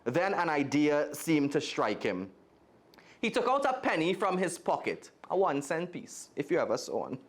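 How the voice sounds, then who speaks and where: A man's voice: fairly high pitch, very animated, normal speed. A man with a Trinidadian accent reading a children's story aloud expressively and speaking directly to young listeners. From Trinidad and Tobago. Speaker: guest storyteller.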